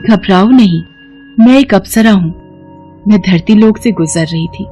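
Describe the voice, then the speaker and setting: A woman voicing a benevolent, reassuring celestial fairy with a measured, solemn manner in an animated fairy tale, music behind. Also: mid-range voice, lightly acted. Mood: kind, solemn.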